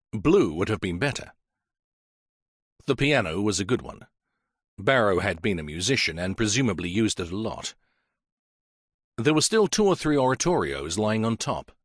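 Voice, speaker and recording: A man narrating a mystery audiobook in a measured, expressive reading. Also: on the low side, dry recording, studio quality. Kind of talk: scripted story narration.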